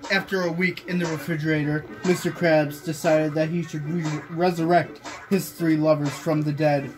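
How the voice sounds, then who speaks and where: A young man reads aloud with amateur storytelling drama. His voice sits high for a man, and music plays clearly behind him in a small room. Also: flat delivery, moderate pace, rough recording. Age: young adult. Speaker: friend reading fan fiction aloud. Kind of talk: amateur read-aloud with casual chat. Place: small bedroom, indoors. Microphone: phone camera nearby.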